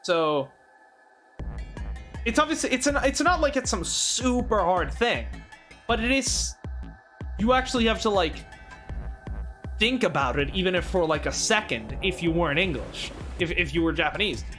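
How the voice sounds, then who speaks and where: A man's voice: high voice, lively intonation, normal speed, long pauses, a bit bright, soft background music. A man hosting a let's play, talking casually with frequent swearing, stammering restarts and laughter. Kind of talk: casual gameplay commentary.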